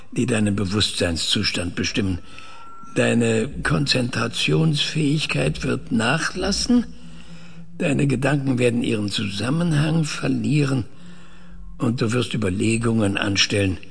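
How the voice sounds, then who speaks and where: Husky, deliberate scientist. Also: male voice, mid-range voice, exaggerated voice. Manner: measured, deliberate. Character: calm, controlling male scientist interrogating an artificial mind. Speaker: radio drama actor. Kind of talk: German radio play dialogue acting.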